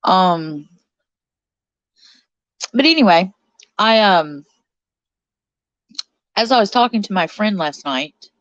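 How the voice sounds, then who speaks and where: A woman's voice: mid-range voice, expressive, slow, long pauses, no room sound, muffled recording. A woman in her forties hosting a casual live stream from a cold bedroom, chatty and a little stuffed up from her sinuses, reading out viewer comments. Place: cold bedroom under a blanket.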